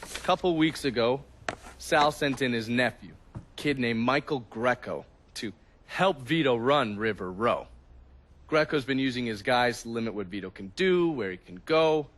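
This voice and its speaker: An American man an actor in the role plays a knowing informant in a crime game cutscene. His medium-pitched voice lays out the state of the underworld in a measured, matter-of-fact way with a dry, faintly sardonic edge. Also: lively intonation, fairly bright tone, natural voice. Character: male informant briefing on mob rivals, dry and knowing. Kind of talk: video game cutscene dialogue.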